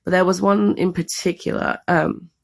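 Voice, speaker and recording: A woman's voice: lowish voice, level tone, normal speed. A woman from London with a London accent, losing her voice to a cold, chatting casually and rambling through a story time for a vlog. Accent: London English. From England, London. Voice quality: hoarse, gravelly. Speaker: vlogger co-host.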